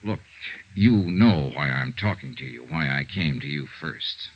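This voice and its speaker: An American actor playing a brisk, hard-nosed insurance investigator and narrator in a 1950s radio serial, with a clipped, dry, businesslike delivery. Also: male voice, very deep voice, animated, exaggerated voice. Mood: businesslike, probing. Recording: muffled 1950s radio recording.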